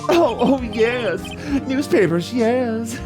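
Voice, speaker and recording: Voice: mid-range voice, expressive, slow pace, over music, pitched above the performer's own voice. A performer voicing a shifty, nervous newspaper seller, a man giving testimony in a video game reading. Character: shifty, jumpy middle-aged male newspaper seller witness.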